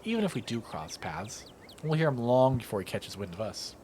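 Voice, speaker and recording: A man's voice: medium pitch, big pitch swings, a little fast, darkish tone, a little hiss or hum, natural voice. A man voicing an eager, headstrong young woman who hunts monsters for her video channel, pleading and upbeat, in a game. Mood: persuasive. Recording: music playing behind the voice.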